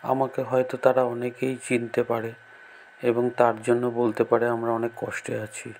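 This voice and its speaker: A man's voice: mid-range voice, monotone, normal speed, darkish tone, a little hiss or hum, natural voice. A man playing a kindly, polite young landlord in a cartoon story, speaking earnestly. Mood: pensive, resolved. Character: kindly young landlord gentleman in disguise, concerned and helpful.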